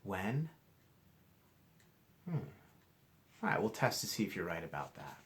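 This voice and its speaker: A man reading a storybook aloud to children like a teacher, expressively, pausing to ask questions and explain. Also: sits low, sing-song, a bit bright. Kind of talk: interactive storybook read-aloud.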